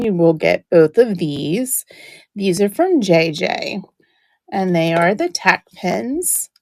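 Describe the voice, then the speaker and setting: A woman running a live-stream auction, chatting warmly about each item while she loses her voice and keeps clearing her throat. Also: mid-range voice, no room sound. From New Jersey. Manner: chatty, describing items up close.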